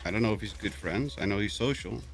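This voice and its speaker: A middle-aged man with a fairly low voice and a New York accent talks through his game stream in a loose, chatty way. He often stutters and repeats himself mid-sentence. Faint game music plays under him. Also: fast, vocal fry. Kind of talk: casual gameplay commentary.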